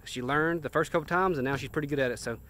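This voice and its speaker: A young man, talking excitedly and casually as a fishing vlogger on a boat and by a lake. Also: on the high side, fast talker. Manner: excited, chatty, rambling. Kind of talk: casual vlog narration. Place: outdoors on a boat, boat ramp and lakeside.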